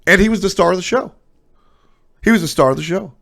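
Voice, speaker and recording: A man's voice: high-pitched, fast, vocal fry, fairly bright tone. A man with a stuffy, nasal voice streaming casual, blunt opinions on esports, trailing off into asides.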